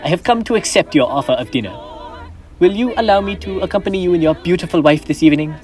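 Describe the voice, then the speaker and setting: A man's voice: sits high, lively intonation, fast talker, darkish tone, faint music behind. A teen boy playing a reformed, kindly old miser. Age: teens. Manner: earnest, formal old-fashioned phrasing. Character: reformed elderly miser, now generous and kindly. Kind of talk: school stage play acting.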